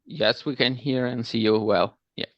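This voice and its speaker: A man's voice: medium pitch, steady tone, moderate pace, dry recording, highs cut off. A man hosting a webinar over a video call, formally introducing the speaker with some slips and fillers. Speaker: webinar host.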